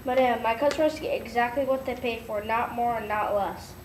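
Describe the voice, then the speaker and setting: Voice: medium pitch, brisk, recorded from a distance, natural voice. A young schoolchild playing a proud, stubborn baker, reciting lines in a school readers' theater. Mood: indignant. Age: child, about eight or nine. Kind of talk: recited school play dialogue. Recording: echoey, noisy room from afar.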